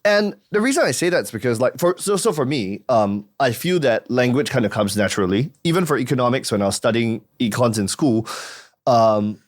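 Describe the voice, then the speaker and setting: A man hosting a casual podcast, giving his opinions in a measured, explaining way. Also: medium pitch, animated. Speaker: podcast host and school founder.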